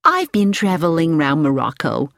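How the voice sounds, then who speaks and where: A woman's voice: sits low, huge pitch swings, gravelly, no echo, studio quality, full character voice. A woman reading as a chatty middle-aged American lady. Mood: friendly. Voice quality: pleasant voice. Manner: slow, inquisitive and sociable.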